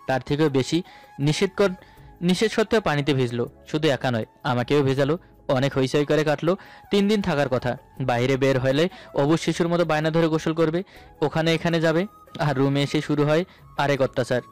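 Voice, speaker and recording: A man with a fairly high voice narrates a romantic story in the first person. It is a scripted read, with music playing behind him. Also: a little fast. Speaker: narrator of romantic audio stories. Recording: voice over background music.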